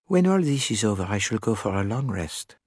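A man's voice: on the low side, breathy edge, dry recording, studio quality, pitched above the performer's own voice. A man playing an elderly detective, courteous, methodical and a little weary, speaking steadily in a story reading. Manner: steady, measured.

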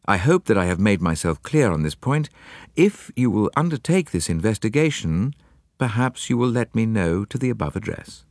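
A man's voice: lowish voice, sing-song, moderate pace, a little mellow, no room sound, clean studio sound. A man reading the letter of an anxious elderly woman, with a formal and cautious tone.

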